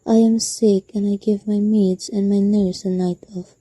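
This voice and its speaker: A woman's voice: medium pitch, level tone, normal speed, mellow, dry recording, close to an everyday voice. A young woman acting in a student radio drama as an anxious, demanding invalid wife alone at night. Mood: insistent.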